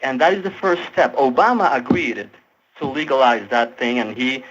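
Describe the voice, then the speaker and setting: A man's voice: medium pitch, moderate pace, noisy background. A Colombian man in his twenties, a native Spanish speaker with a Colombian accent, earnestly arguing a point and often repeating words as he searches for phrasing. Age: 20s. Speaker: tech support agent. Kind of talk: impassioned persuasive argument on a support call. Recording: dull lo-fi call audio.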